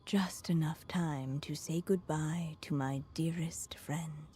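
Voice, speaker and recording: Slow, whispering, fading woman. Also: low voice, fairly even intonation, a little hiss or hum. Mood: weak, tender. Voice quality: breathy, whispery. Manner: faltering, gentle. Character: fading, dying woman bidding gentle farewell. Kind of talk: video game character acting.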